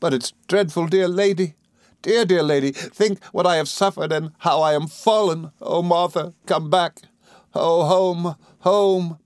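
Man voicing a soft, high, pleading young woman. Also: measured pace, no echo, studio quality. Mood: anguished remorse. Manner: imploring, tearful, desperate. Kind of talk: dramatised classic novel reading.

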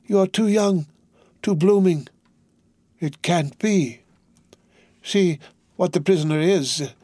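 A broken old prisoner, lost in memory, his voice lifted high and thin above the reader's own, as a man puts him on. He talks in slow, halting pieces, groping for each thought. The reading is close and dry, with no room around it. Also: a little mellow, dry recording. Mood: sorrowful. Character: frail old male prisoner, dazed and grieving. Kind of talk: audiobook character voice.

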